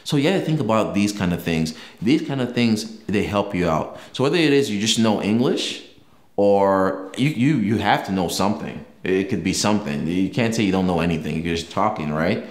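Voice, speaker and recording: A man's voice: fairly low pitch, expressive, moderate pace, echoey room. Conversational man, a native Yoruba speaker. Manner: conversational, explains with examples, frequent restarts. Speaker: language learning video host. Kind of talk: casual talk to camera.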